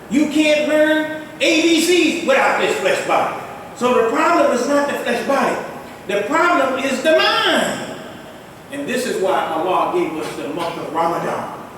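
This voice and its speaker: Older male imam, high voice, emphatic sermon delivery, non-native Arabic, recorded far off in a reverberant mosque hall. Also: fairly bright tone. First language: not Arabic. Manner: emphatic preaching with rising exclamations. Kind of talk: Friday sermon. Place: large mosque prayer hall. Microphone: distant mic in a mosque. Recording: echoey hall, far from the mic, faint rumble.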